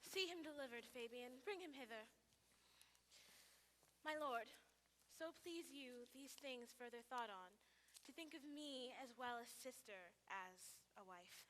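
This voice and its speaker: A woman's voice: extremely high pitch, animated, moderate pace, pushed and bright, a little hiss or hum, full character voice. A woman playing a proud, impulsive noble lady in Shakespearean stage acting, in an echoey hall. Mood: gracious, commanding.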